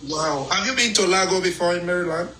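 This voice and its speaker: A man's voice: sits high, quick pace, pushed and bright, a little hiss or hum, poor audio. A Nigerian man calling into a live chat, boastful and joking in Nigerian Pidgin. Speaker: caller.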